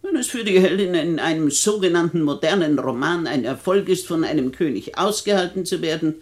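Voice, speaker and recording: An actress playing a bedridden, rich old widow in a 1960 German radio play, imperious, teasing and dryly mocking in articulate speech. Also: female voice, low-pitched, lively intonation, fairly quick, a little mellow. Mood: dry, disapproving. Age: older.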